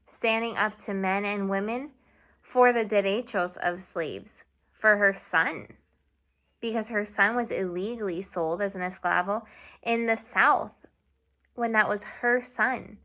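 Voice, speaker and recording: A young woman teacher giving a video lesson to children, speaking slowly and clearly and switching between English and Spanish. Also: mid-range voice, sharp tone. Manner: slow and clear, mixing English and Spanish, asks students to repeat. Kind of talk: classroom video lesson for kids.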